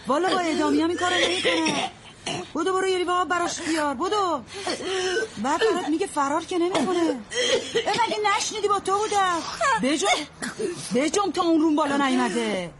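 A woman's voice: on the low side, lively intonation, over a noisy crowd, natural voice. An actress playing a blunt, motherly older neighbor woman who talks in folksy sayings and bosses the young women around. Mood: scolding. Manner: folksy, proverb-quoting, bossy. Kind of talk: radio drama acting. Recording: noisy radio-play recording.

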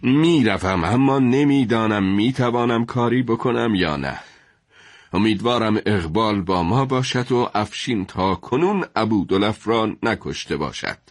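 A man's voice: fairly low pitch, animated, dry recording, clean studio sound. A man playing an anxious, wise judge in a dramatised historical story, grave, formal and deferential in manner. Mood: uneasy. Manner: grave, formal, worried delivery.

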